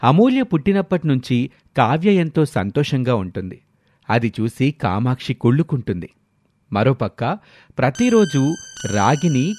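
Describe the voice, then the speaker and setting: A man narrating a scripted moral story as a storyteller. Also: mid-range voice.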